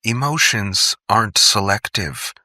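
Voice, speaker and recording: A man performs a cautious male doctor in an audiobook drama. He speaks low and smooth, in slow measured phrases that gently admonish. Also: no room sound, studio quality, exaggerated voice. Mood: quiet warning.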